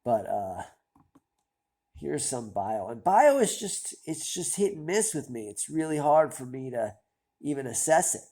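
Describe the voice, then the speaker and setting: Host, male, nasal and congested with a cold, mellow husky tone, hesitant self-mocking musing. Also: mid-range voice, big pitch swings, unhurried. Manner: self-deprecating, hesitant, rambling. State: stuffy nose from a cold. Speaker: stock-picking channel host. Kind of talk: casual thinking-aloud commentary.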